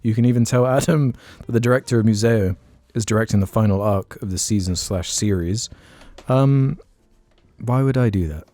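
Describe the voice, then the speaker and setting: A man's voice: fairly low pitch, moderate pace, warm tone, dry recording. A man co-hosting a casual podcast, joking and laughing often while reading out listener questions. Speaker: podcast co-host. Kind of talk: casual chat.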